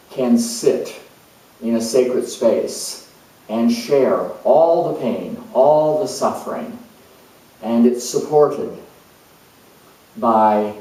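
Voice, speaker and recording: An actor playing a calm, spiritual hospice coordinator who speaks in an earnest, searching way with many pauses. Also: male voice, mid-range voice, natural voice. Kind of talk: dramatized monologue. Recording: very echoey room, mic far off.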